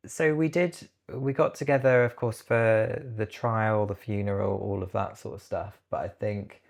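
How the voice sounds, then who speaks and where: A man's voice: fairly low pitch, fairly even intonation, measured pace, gravelly. A British man in his thirties speaking as an interview guest, recounting personal experiences in a reflective, hesitant way.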